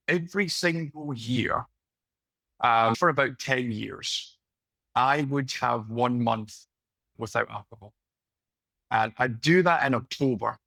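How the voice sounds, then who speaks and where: A Scottish man in his late thirties, a podcast guest telling his story in a relaxed, conversational way over a video call. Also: medium pitch, gravelly, no echo. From west of Scotland. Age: late 30s. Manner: relaxed, unhurried storytelling with fillers.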